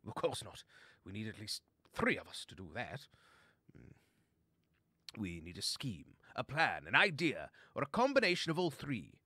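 A man's voice: fairly low pitch, big pitch swings, normal speed, sharp tone, slightly rough, no room sound, heavily acted. A man voicing a chatty upper-class animal adventurer in a storybook, jolly and pompous.

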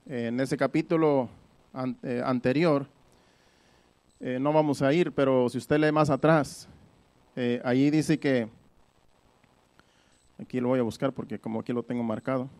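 A man's voice: mid-range voice, steady tone, a little slow, long pauses, a little mellow. A man preaching in a conversational Bible-teaching style, explaining things by repeating and rephrasing his points.

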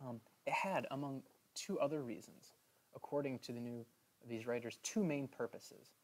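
A man teaching a history lesson over slides in a casual, chatty way, filling pauses with "um" and checking in with "right?". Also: mid-range voice, rough recording. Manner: chatty, many "um"s and "right?" asides.

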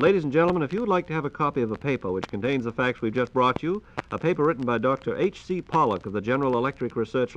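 An American man announces in a formal 1940s radio style, speaking quickly and politely at a medium pitch. The recording is dull and narrow, like an old transcription disc. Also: a bit bright, a little hiss or hum. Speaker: radio program host and announcer.

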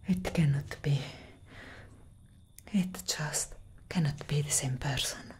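A woman voicing an ancient, grave and protective elder vampire sister in a breathy, dramatic whisper for an ASMR roleplay. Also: extremely low pitch, dark tone, full character voice. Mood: troubled, urgent. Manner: slow, dramatic, intense delivery. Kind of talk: soft-spoken ASMR roleplay.

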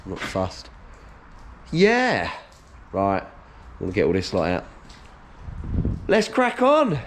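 A man in his thirties, slightly hoarse, chatting casually to a head-mounted camera while he strips out his workshop. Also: lowish voice, wildly swooping pitch, takes it slowly, slight background noise. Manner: chatty, upbeat, matter-of-fact while working. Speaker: workshop owner vlogging. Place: large workshop being stripped out, indoors. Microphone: head-mounted action camera.

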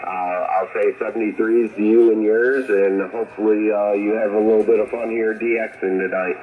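Low-voiced American man over tinny radio. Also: fairly even intonation, normal speed. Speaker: amateur radio operator. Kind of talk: casual ham radio chat. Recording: tinny, noisy shortwave radio reception.

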